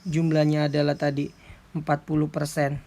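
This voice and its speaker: A man explains like an accounting lecturer in an informal tutorial, going step by step in a halting way. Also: fairly high pitch, monotone, mellow. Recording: clearly noisy background with clicks.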